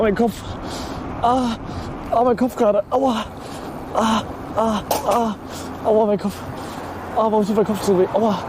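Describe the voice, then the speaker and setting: Young man, high voice, slangy and excitable, riding a bike, loud traffic noise behind. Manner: slangy, excitable, swears a lot. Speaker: streamer. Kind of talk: live outdoor stream chat. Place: outdoors on city streets. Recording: outdoor street noise with traffic.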